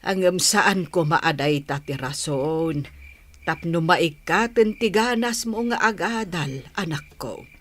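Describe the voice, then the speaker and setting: Woman acting a slow-talking, patient Ilocano mother. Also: on the low side, fairly bright tone. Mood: advising. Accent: Ilocano Filipino. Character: patient, caring Filipino mother advising her grown son. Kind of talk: audio drama dialogue.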